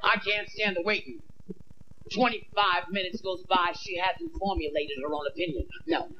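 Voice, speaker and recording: A man's voice: high-pitched, fairly even intonation, moderate pace. A man in his thirties doing a brash stand-up routine on a theater stage into a handheld mic, acting out bits of dialogue. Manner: brash, acts out voices of women and himself. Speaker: stand-up comedian. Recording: dull lo-fi VHS tape with hiss.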